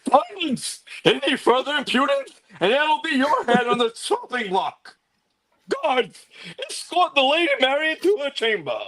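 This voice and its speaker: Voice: high-pitched, sing-song, measured pace, fairly bright tone, heavily acted, low-quality recording. A performer voicing a pompous, haughty medieval nobleman in a comedic script read, with a theatrical, blustering delivery. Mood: commanding.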